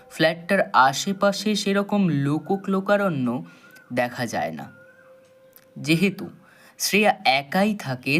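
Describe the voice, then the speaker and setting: A male narrator with a slightly high voice tells a thriller story. He phrases it dramatically, with measured pauses, over soft background music.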